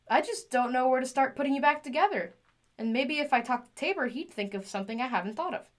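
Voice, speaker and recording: An actress playing an eager, flustered young woman tinkerer in an audio drama, rambling and chatty as she corrects herself. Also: high voice. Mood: anxious, regretful.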